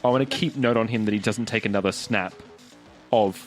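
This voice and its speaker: A man's voice: on the low side, fairly quick, soft background music. A man playing a tabletop roleplaying game, casually talking through his character's actions and questions.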